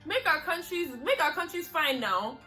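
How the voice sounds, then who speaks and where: A young American woman hosting a casual chat, animated and emphatic, often repeating her phrases. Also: very high voice, moderate pace, music in the background. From United States. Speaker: host.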